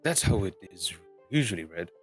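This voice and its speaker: Man playing an emphatic low-voiced storybook dwarf. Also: big pitch swings, normal speed, a bit bright, faint music behind. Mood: brusque, insistent. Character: gruff, businesslike male dwarf adventurer.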